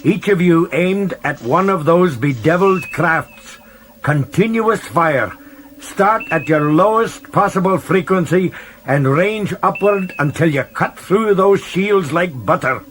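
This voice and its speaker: A man's voice: high-pitched, measured pace, a bit bright, slight background noise, pitched above the performer's own voice. A man voicing a stubborn, combative young cadet captain giving blunt orders in a science fiction audiobook. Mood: commanding. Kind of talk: audiobook character dialogue.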